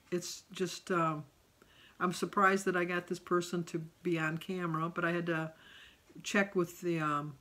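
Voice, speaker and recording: A woman's voice: lowish voice, fairly bright tone. A middle-aged woman talking casually and candidly in a personal vlog, rambling from one thought to the next. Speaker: vlogger.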